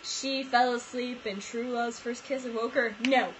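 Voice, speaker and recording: A young woman with a high voice delivers casual, comic storytelling. Her manner is excitable and giggly, leaning into theatrical mock outrage. The amateur recording carries audible noise. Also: measured pace, low-quality recording. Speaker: vlog co-host. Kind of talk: casual comic retelling of fairy tales.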